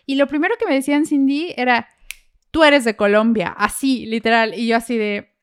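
A woman's voice: a little high, fairly even intonation, normal speed, clear, resonant tone. A woman with a Mexican accent hosting a podcast, chatting casually and colloquially as she tells stories and asks questions. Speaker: podcast host. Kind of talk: casual chat.

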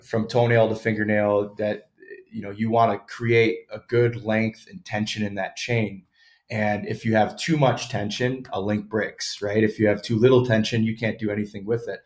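A man in his thirties talks in a fairly low voice as a guest on an interview podcast. He explains health habits in a loose, conversational way and often hedges what he has read. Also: flat delivery, normal speed. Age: 30s. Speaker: podcast guest, former pro hockey player. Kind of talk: casual interview chat.

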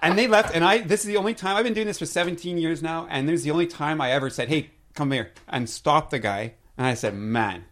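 A man in his thirties telling funny stories in casual chat, animated and laughing at his own jokes. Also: on the high side, fast talker, hardly any pauses.

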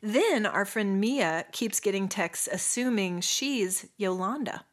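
A woman's voice: medium pitch, expressive, takes it slowly. A woman co-hosting a casual podcast, giggling often and reading listener texts aloud. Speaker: podcast co-host. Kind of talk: casual chat.

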